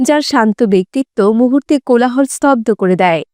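A woman's voice: fairly high pitch, expressive, quick pace, a little mellow, dry recording, clean studio sound. A woman narrating a scripted story in a dramatic style.

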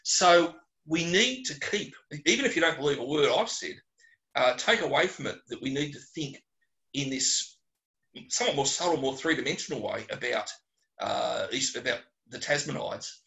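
Bright-voiced Australian man, blunt and colloquial. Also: medium pitch, animated, moderate pace, low-quality recording. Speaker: geologist presenting a webinar. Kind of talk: informal question-and-answer talk. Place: small closed room at home or office, on a video call.

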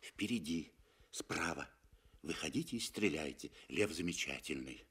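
A man's voice: extremely low pitch, animated, unhurried, a bit bright, slightly rough, voice pushed down, full character voice. A man voicing an unflappable hunting guide, curt and matter-of-fact, in a 1960s radio play. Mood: hushed, urgent.

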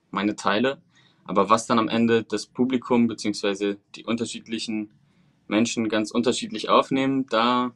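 Thoughtful young actor on a video call. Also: male voice, lowish voice, fairly bright tone. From Venezuela, raised in Germany. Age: 20s. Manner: thoughtful, articulate, hesitant fillers. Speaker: actor guest.

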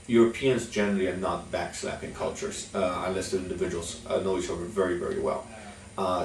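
A young man speaks in a low, deep voice, pausing often on "uh" as he explains things slowly. He sits in an office, recorded from a little way off, so the room adds a clear echo. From Poland. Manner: frequent "uh" fillers, measured delivery. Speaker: chamber of commerce executive. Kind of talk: instructional talk to camera. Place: office with logo backdrop. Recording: echoey room, mic at a distance.

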